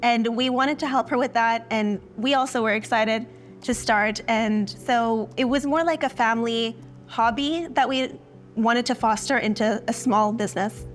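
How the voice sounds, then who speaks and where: A young woman speaking quickly and upbeat in a documentary interview about her mother. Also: a little high, soft background music. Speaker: daughter of the founder.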